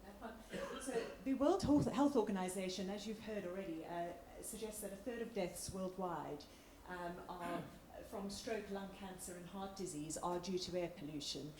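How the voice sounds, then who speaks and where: A woman doctor with a slightly croaky voice, giving an informal, somewhat hesitant public health presentation. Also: mid-range voice, normal speed, hardly any pauses, darkish tone. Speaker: doctor, clinical respiratory lead. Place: large indoor room at a public meeting. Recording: echoey room with background noise.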